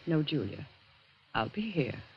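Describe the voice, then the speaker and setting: An actress playing a steady, comforting confidante in a radio suspense play, giving soothing but firm instructions. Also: female voice, deep voice, unhurried, full character voice. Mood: calm, reassuring. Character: steady, comforting female confidante, gently in charge. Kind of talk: 1940s radio suspense acting. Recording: dull old radio recording, faint noise.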